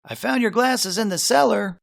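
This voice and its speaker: A man's voice: high voice, moderate pace, vocal fry, a bit bright, voice pushed up, full character voice. A man voicing a nervous but plucky little boy, earnest and demanding, in a children's storybook. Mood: pleased.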